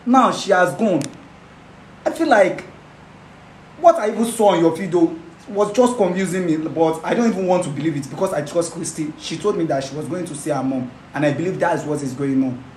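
A man's voice: on the high side, brisk, darkish tone, lightly acted. An actor playing a young man who pleads and repeats himself, breaking into laughing sobs. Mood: anxious. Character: young man heartbroken by betrayal. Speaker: betrayed boyfriend. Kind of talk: amateur skit acting. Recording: roomy echo, faint noise.

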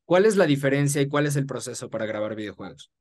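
Young male interviewer, medium pitch, casual conversational delivery. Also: animated, fast talker, a little mellow. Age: young adult. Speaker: interviewer, host. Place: small room with acoustic foam, indoors, on a video call. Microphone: studio microphone on a stand.

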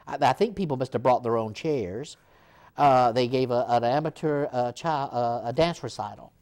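A man's voice: mid-range voice, normal speed. An older man in his sixties, a theater executive director, telling the hall's history in a TV studio interview with lots of fillers and restarts. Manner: animated storyteller, frequent restarts and fillers. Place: small TV studio set, seated in armchair.

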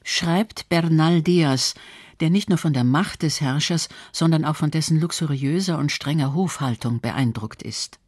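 A narrator reading a scripted history documentary in a measured storytelling register. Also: mid-range voice, a little mellow, breathy edge, dry recording.

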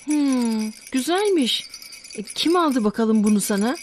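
A woman playing a caring, wise mother in a children's cartoon, speaking in an affectionate, gently instructive way. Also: a little high, animated, a little slow, a little hiss or hum. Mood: gently approving.

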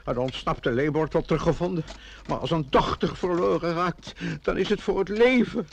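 A flustered, high-voiced lamenting mayor. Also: male voice, moderate pace, gravelly. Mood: distraught. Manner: lamenting, repetitive, stammering. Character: flustered, self-pitying male small-town mayor and father. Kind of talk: old Dutch radio play acting. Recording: noisy old radio recording.

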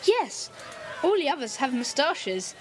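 A woman's voice: high voice, very animated, a little slow, a little hiss or hum, rough recording. A woman playing an eager, decisive young boy who leads a group of children in an audio drama.

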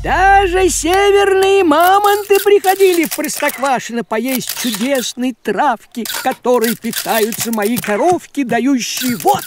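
A man's voice: extremely high pitch, animated, measured pace, hardly any pauses, over music. A man voicing a bossy, thrifty talking farm cat in a cartoon, self-important and indignant. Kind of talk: cartoon voice acting.

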